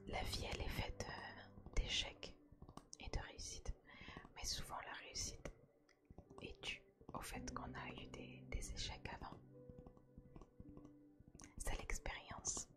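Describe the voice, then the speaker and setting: A woman whispering a casual ASMR chat to her viewer, hushed, gentle and reassuring. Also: slow, long pauses. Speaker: ASMR video host.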